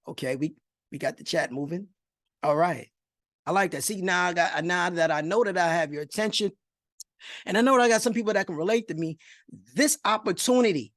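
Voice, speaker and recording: A man's voice: high voice, dry recording, clean studio sound. A middle-aged man with a New York accent presenting a motivational online webinar, speaking emphatically, repeating phrases and checking in with his listeners. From New York, United States. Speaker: host of an online investing masterclass. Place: online video call.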